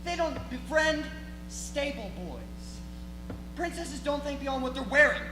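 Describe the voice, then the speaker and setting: Teen girl playing a pompous, slow-drawling young prince. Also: high voice, sing-song. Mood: condescending. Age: teenager. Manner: condescending, haughty, whiny when challenged. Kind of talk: theatrical readers theatre performance. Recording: echoey hall with loud music.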